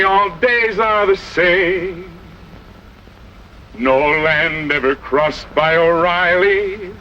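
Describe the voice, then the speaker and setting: A man's voice: high-pitched, pushed and bright, dull audio. A man singing a folk-style ballad in a western. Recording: noisy old film soundtrack.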